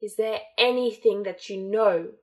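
A woman voicing a cold, menacing male dark lord who speaks slowly and with threat, in an audiobook reading. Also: a little high. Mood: snarling.

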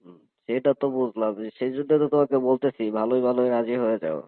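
A man's voice: mid-range voice, steady tone, fast, dry recording, natural voice. A man playing a coercive college hostel superintendent in a phone-call drama, insistent and persuasive as he pressures a student. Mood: threatening.

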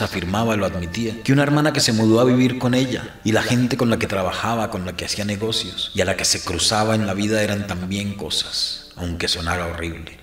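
A man's voice: fairly low pitch, a bit bright, roomy sound, natural voice. An actor playing a guilt-ridden priest narrating his past in an audio drama. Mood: somber recounting. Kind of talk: scripted first-person dramatic narration.

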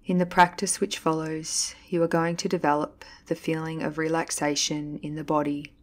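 Female meditation instructor, low register, level intonation, calm scripted delivery with deliberate pauses. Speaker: yoga nidra instructor. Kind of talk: guided meditation, scripted narration.

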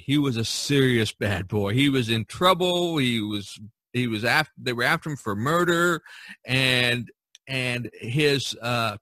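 A man's voice: medium pitch, bright, forceful tone, no room sound. A man hosting a casual podcast discussion, speaking emphatically and repeating phrases for stress. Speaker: podcast host.

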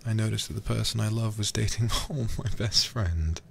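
A man livestreaming a game in a soft, soothing ASMR style, chatting casually and affectionately close to the microphone. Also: on the low side, moderate pace, vocal fry, a little hiss or hum. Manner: soothing, affectionate, drops into whispers. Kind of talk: ASMR gaming stream, soft casual chat. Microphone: close streaming microphone.

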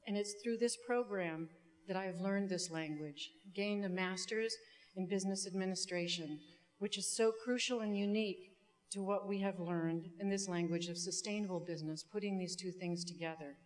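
A middle-aged woman giving a prepared commencement speech as a student speaker, measured and deliberate, with some ad-libs. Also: mid-range voice. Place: indoor auditorium stage. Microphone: podium microphone. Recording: long hall reverb from stage sound system.

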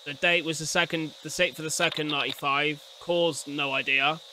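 Young man, higher-pitched, reacting casually. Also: level tone, moderate pace, background noise. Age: young adult. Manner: slangy, muttered asides, blunt swearing. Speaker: reaction video host. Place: indoor room at home. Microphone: close desk condenser mic, headset on.

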